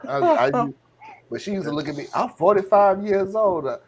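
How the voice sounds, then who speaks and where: A man's voice: sits high, animated, a little hiss or hum. A man in his forties trading jokes in a casual chat, drawing out his punchlines. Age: 40s. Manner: joking, drawn-out punchlines. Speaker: guest. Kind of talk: casual banter.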